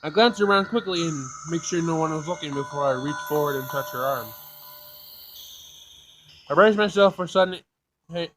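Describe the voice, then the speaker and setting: High-voiced man doing an expressive let's play. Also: noisy background. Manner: expressive reading, stumbles and rereads. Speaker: gaming video host. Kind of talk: let's play, reading game text aloud dramatically with commentary. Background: game music.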